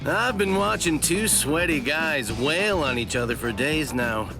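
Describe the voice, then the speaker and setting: An actor playing a sly, breezy shopkeeper in an anime game dub, with a glib, theatrical, playful way of talking. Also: male voice, on the high side, lively intonation, unhurried, music in the background. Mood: playful, teasing. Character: sly, breezy shopkeeper hiding great power, cheekily self-flattering.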